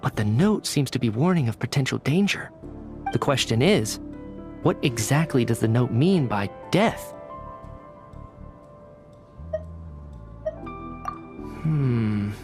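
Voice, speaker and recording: An actor playing an earnest, careful young male researcher in a video game, speaking in a deliberate, articulate way as he reasons aloud. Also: medium pitch, animated, soft background music, close to an everyday voice. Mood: deliberate reasoning. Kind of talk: anime-style video game cutscene acting.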